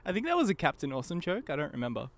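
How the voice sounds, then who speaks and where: Male guest, slightly higher pitch, relaxed conversational delivery for an informal podcast chat. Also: racing, a little hiss or hum. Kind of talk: casual chat.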